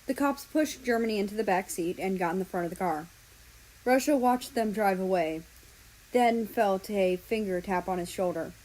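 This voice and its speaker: A woman reading fan fiction aloud as an expressive narrator. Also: medium pitch, normal speed, rough recording. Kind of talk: expressive storybook-style narration.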